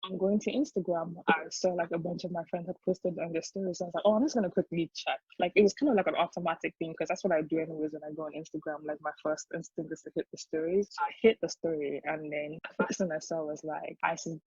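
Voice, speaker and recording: A woman's voice: sits low, fast, hardly any pauses, slightly rough, dry recording, dull audio. A young woman from Nigeria with a Yoruba Nigerian accent, a podcast guest telling a story casually with lots of fillers. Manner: animated storyteller, many fillers, laughs often. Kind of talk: casual chat.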